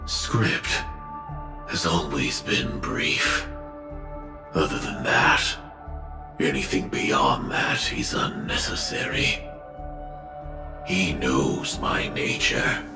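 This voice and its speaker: An actor playing a grim, fatalistic armored warrior in a video game, speaking in solemn, cryptic declarations. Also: male voice, very deep voice, exaggerated voice. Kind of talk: dramatic video game voice acting. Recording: echoey voice over background music. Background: music.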